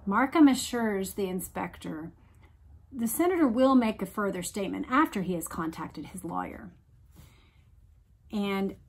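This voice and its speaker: A woman hosting a read-along discussion of a true case, explaining and commenting in a deliberate, emphatic way. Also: a little high.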